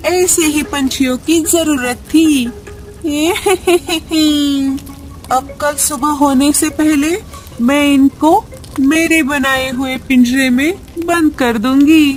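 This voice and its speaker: A wicked old witch bird for a cartoon horror tale. The voice is shrill and high, with a gloating, cackling manner and slow menace. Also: fairly even intonation, rough recording. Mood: gloating, menacing.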